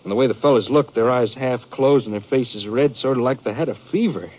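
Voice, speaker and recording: A man acting a frightened, aggrieved American rancher on the run in a 1950s radio western, speaking in a rustic, earnest, halting way. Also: medium pitch, natural voice. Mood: scared, confiding. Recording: muffled 1950s radio recording.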